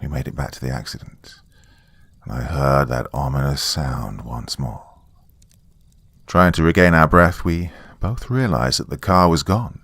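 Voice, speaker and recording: Deep-voiced man narrating horror, very dry. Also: a bit bright, no echo. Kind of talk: dramatic scripted first-person horror narration.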